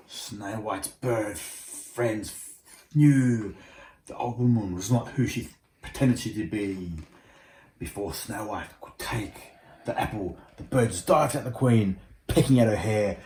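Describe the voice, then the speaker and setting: A man's voice: lowish voice, darkish tone. A man reading a storybook aloud like a father at bedtime, occasionally stumbling over the words. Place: indoor room at home. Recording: roomy home recording, faint noise.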